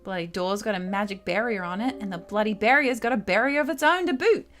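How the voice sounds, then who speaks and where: A woman's voice: medium pitch, quick pace, a bit bright, natural voice. A woman voicing a cocky young female thief from a visual novel game, grumbling in slangy talk. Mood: irritated.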